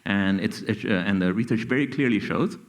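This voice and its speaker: A man's voice: on the low side, fairly quick. A middle-aged man with a Bangladeshi accent, explaining research on a panel and often checking in with "okay?" and "right?". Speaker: economics professor, panelist. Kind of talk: panel discussion. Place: stage at a public event.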